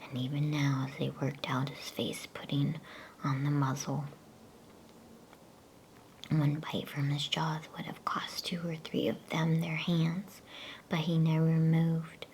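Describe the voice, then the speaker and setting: Lady doing asmr story reading, all breathy whisper, low and flat, close to the mic. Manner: slow, hushed, gentle pacing. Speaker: storyteller reading a bedtime book. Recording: faint background noise.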